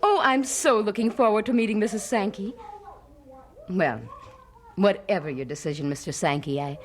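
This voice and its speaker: An actress playing a gracious, eager preacher's wife in an audio drama, genteel and reassuring. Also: female voice, mid-range voice, moderate pace, a little hiss or hum, natural voice.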